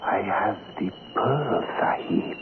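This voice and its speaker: An actor playing a ruthless, greedy Indian thief and killer in an old-time radio drama, intense, hushed and commanding. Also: male voice, on the low side, animated, slow pace, gravelly, heavily acted. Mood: wary, bargaining. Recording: noisy, narrow phone-like old radio recording.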